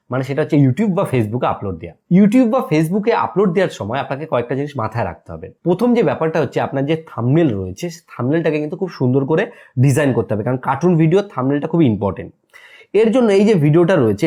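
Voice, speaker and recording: Very fast-talking male tutorial presenter. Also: a little high, dark tone. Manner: instructional, often asks and answers own questions. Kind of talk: step-by-step screen tutorial narration.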